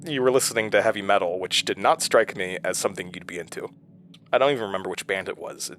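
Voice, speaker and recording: An actor playing a haunted, weary, wry man who confides his story haltingly in a horror audio drama. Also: fairly low pitch, a bit bright, close to an everyday voice. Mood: wistful, hesitant. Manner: hesitant, confessional, halting. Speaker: lead character and narrator of a horror audio drama. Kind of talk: horror audio drama acting, narration and intimate dialogue.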